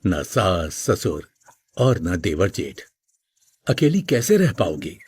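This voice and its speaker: A man voicing a concerned old village father with a rough, husky voice in a cartoon story. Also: deep voice, sing-song, slow pace, darkish tone, heavily acted. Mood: concerned, persuading.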